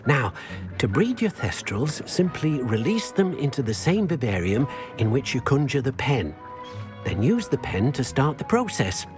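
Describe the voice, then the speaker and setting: Game house-elf: old, polite, eager to help, mid-pitched with big melodic swings, clear music underneath. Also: male voice, very animated, unhurried. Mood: pleased, helpful. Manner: refers to himself in third person, hesitant. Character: elderly male house-elf, earnest, courteous, devoted to creatures. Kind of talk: video game character dialogue.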